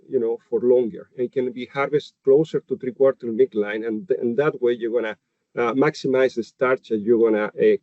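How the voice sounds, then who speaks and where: A mid-pitched man from Chile with a clear Chilean Spanish accent walks through a technical webinar on dull, dry audio. He hesitates often, restarting phrases as he explains each point. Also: no echo. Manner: hesitant, many fillers and restarts while explaining slides. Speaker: livestock nutritionist. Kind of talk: webinar presentation.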